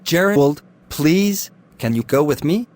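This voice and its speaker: A man's voice: a little high, expressive, takes it slowly, a little mellow. A young man, acting a desperate student who keeps begging his friend for help. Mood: pleading. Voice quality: begging, insistent pleading. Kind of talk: amateur drama acting.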